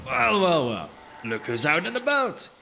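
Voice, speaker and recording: A man's voice: sits high, wildly swooping pitch, measured pace, vocal fry, pitched above the performer's own voice, exaggerated voice. Male actor as jovial monk for fantasy audio drama; mischievous, hearty banter, music underneath. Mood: jovial teasing. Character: jovial teasing brother of a monastery, adult man.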